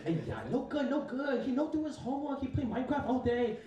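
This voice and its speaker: A man's voice: very high voice, expressive, quick pace, warm tone, heavy reverb, distant mic, voice pushed up. A young man voicing his nagging, bragging Chinese immigrant mother with a Chinese accent and broken, sing-song English. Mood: scolding.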